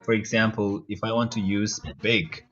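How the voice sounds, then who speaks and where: A man's voice: fairly low pitch, little pitch movement, moderate pace, fairly bright tone. A man speaking as a guest in a casual chat, hesitant, with fillers and restarts. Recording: muffled, distant from the mic.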